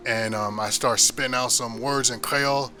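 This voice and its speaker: A man's voice: medium pitch, fairly even intonation, moderate pace, sharp tone, slight background noise. An American man giving an informal Bible lesson, talking in a conversational, emphatic way with frequent restarts. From United States. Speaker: Bible teacher.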